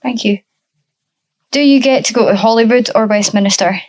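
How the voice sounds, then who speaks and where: A woman's voice: fairly high pitch, normal speed, darkish tone. A woman hosting a podcast, reading interview questions and politely thanking her guests after each answer. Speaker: podcast host.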